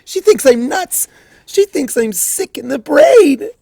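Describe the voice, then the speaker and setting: A woman voicing a frantic, lovesick young unicorn mare who wails and sobs in a high voice. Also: sing-song, slow pace, vocal fry, warm tone, no echo, studio quality, exaggerated voice. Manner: high, wailing and sobbing. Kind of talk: fanfic reading.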